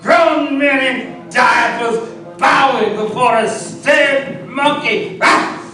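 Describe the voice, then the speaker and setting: A man's voice: very high-pitched, slow pace, bright, forceful tone, soft background music, full character voice. A man playing a drunken, arrogant little colonial, blustering and stammering in broad radio theatre style. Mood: defiant, mocking. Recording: echoey hall, distant stage mics.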